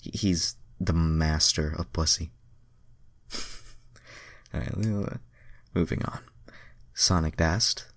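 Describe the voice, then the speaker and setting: Deep-voiced, slow-paced irreverent male story reader. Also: fairly even intonation, fairly bright tone, no room sound. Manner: irreverent, self-deprecating. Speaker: amateur fan fiction reader. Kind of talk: narrated reading with casual mocking asides.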